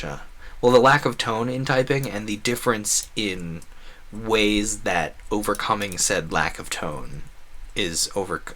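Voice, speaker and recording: Bright-voiced man rambling on a game stream. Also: low voice, slight background noise. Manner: rambling, earnest, opinionated. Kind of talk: casual gaming stream commentary.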